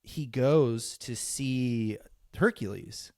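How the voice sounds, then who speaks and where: A man's voice: medium pitch, extremely slow, a little mellow, no room sound, studio quality. A young man co-hosting a podcast, chatting loosely with lots of fillers and laughs. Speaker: podcast co-host. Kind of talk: casual chat.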